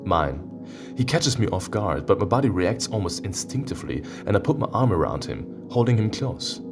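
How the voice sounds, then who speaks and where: A man's voice: fairly low pitch, big pitch swings, normal speed, music in the background. A man reading as an introspective animal narrator in a romance visual novel, in an earnest, tender, reflective manner.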